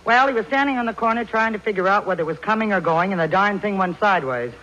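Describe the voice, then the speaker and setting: A woman acts out a brassy young wisecracker in an old-time radio comedy. She is dry and flip. The recording is muffled, with clear noise. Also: medium pitch, lively intonation, fast talker, lightly acted. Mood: wisecracking.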